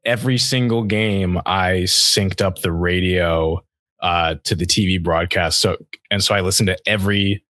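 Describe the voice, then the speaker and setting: An American man co-hosts a casual sports chat in a fairly low voice. His talk is informal and conversational. The recording is dry, without room echo. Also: steady tone, moderate pace, a bit bright. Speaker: sports podcast co-host.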